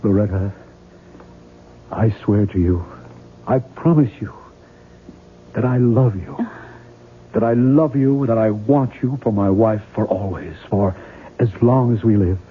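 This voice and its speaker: An actor playing a tormented, pleading, weary novelist in a radio mystery drama, speaking earnestly and with anguish. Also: male voice, lowish voice, measured pace, pauses often, natural voice. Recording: tinny, noisy 1970s radio broadcast.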